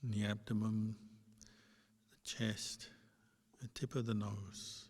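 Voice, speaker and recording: A man teaching as a meditation guide, giving gentle, unhurried instructions with long pauses between short phrases. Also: on the low side, little pitch movement, slightly rough. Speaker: Buddhist monk and meditation teacher. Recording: long hall reverb.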